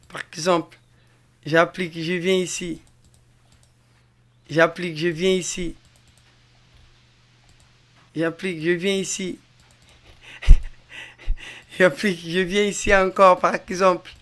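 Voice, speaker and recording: A man with a Congolese French accent walks viewers through an informal production tutorial. His voice sits a little high and sounds clean and smooth. He explains in a halting, repetitive way, circling back over each step as he demonstrates it. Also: a bit bright. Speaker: music production tutor, mixing engineer.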